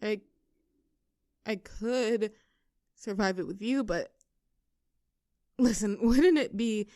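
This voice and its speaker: A woman playing a popular high school cheerleader bully with a hidden soft side in an audio roleplay, teasing and sarcastic. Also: slow pace, no room sound, studio quality, lightly acted. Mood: shy, confessing. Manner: teasing, sarcastic, with flustered stammers when cornered. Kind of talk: audio roleplay acting, one side of a dialogue.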